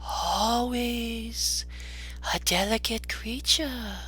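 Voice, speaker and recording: Storybook ghost: high, soft and breathy, very slow, gentle otherworldly spirit. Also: male voice, faint music behind, full character voice. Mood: solemn, wistful. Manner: very slow, measured delivery. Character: gentle, ethereal ghost spirit speaking slowly and softly.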